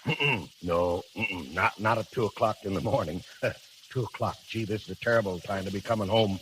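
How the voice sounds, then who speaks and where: A man's voice: fairly low pitch, normal speed, fairly bright tone, close to an everyday voice. An actor playing a bumbling, folksy middle-aged married man with an American accent, stammering and slangy in 1930s radio style. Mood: genial, uneasy. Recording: hissy, crackly 1930s radio recording.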